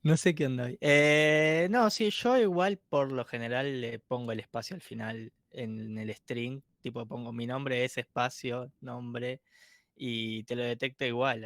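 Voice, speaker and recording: A man's voice: medium pitch, normal speed, gravelly, a bit bright, dry recording, clean studio sound. Casual chatty man. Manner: casual, chatty, laughs easily. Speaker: co-instructor. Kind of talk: online workshop teaching.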